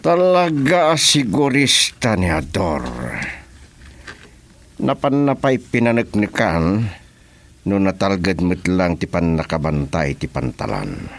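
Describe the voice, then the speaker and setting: Low-voiced commanding boss, lively delivery. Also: male voice, slow, creaky, long pauses, full character voice. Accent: Ilocano. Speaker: actor in a radio drama. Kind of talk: Ilocano radio serial acting.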